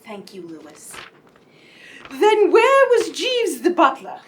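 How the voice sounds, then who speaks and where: A woman acting as a tipsy lady sleuth from a comic radio mystery. Her voice swings extravagantly from high to low. She takes her time and teases with theatrical relish. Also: huge pitch swings, takes it slowly, exaggerated voice. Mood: probing. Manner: theatrical, teasing.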